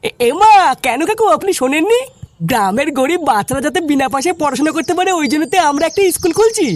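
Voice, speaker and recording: High, animated young cartoon club leader, bossy, coaxing, fast-talking, noisy track. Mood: polite, coaxing. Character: Poltu, bossy young village club leader collecting donations. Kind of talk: comic cartoon dubbing.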